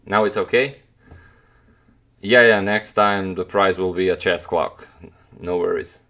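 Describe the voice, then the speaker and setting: Low-voiced Croatian man chatting on stream. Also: steady tone, unhurried, fairly bright tone. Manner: hesitant, many fillers and restarts. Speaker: chess streamer answering chat. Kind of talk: casual live-stream chat.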